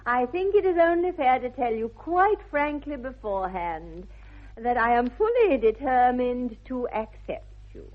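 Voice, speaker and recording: A woman's voice: fairly high pitch, sing-song, unhurried. An actress playing a self-assured, romantic young English society lady in a 1960s radio comedy, with an affected, precise upper-class accent. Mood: coy, adoring. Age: young adult. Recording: dull old radio recording.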